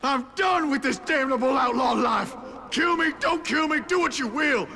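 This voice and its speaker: An actor playing a fed-up, bitter and frantic outlaw in a Western video game, with an American Western accent and a strained, gravelly voice. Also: male voice, extremely high pitch, expressive, moderate pace, bright, forceful tone, full character voice. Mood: exasperated, furious.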